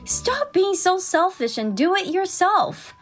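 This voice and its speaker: A woman voicing a forty-year-old housewife and crafts artist in a dramatized story, expressive and exasperated yet caring. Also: very high voice, heavily acted. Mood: exasperated, indignant. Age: 40s. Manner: expressive, indignant in dialogue, measured when narrating. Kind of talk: dramatized first-person story narration and dialogue. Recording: background music under the voice.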